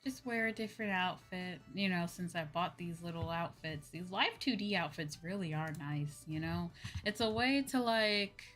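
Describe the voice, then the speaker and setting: A woman's voice: lowish voice, moderate pace, fairly bright tone, soft background music. A young woman streamer chatting casually with viewers in a hesitant, rambling way, often repeating her phrases. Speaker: VTuber streamer. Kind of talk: casual livestream chat with viewers.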